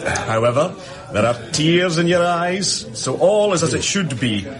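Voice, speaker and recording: A pompous, effusive official in a radio play. His rather high voice swoops theatrically and declaims every point. A crowd murmurs behind him. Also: expressive, unhurried. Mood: officious. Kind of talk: radio drama acting.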